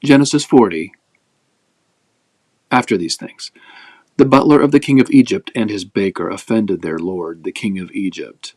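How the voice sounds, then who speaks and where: A man reading scripture aloud as a narrative, with measured, expressive phrasing, as host of a daily reading. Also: fairly low pitch, no echo.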